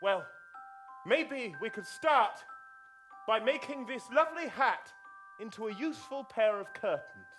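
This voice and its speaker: A man's voice: very high voice, lively intonation, measured pace, a bit bright, exaggerated voice. A man playing an eager, modest, slightly hesitant sidekick in an improvised stage pantomime. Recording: music and audience behind.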